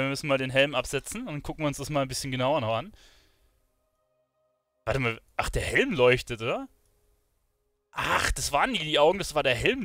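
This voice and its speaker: A man's voice: a little high, a bit bright, no room sound, studio quality. A man giving casual let's play commentary as a gaming streamer.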